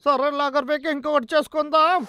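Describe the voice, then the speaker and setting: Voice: high-pitched, flat delivery, a little fast, lightly acted. An actor voicing a boastful, hot-tempered, scheming hunter in a theatrical, exclamatory cartoon dub. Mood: grumbling. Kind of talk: animated cartoon dub acting. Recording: background music under voice.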